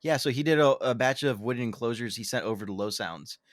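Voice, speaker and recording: A man's voice: mid-range voice, fast, dry recording, studio quality. A man co-hosting a podcast in casual chat, rambling and self-deprecating, with many ums and restarts. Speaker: podcast co-host.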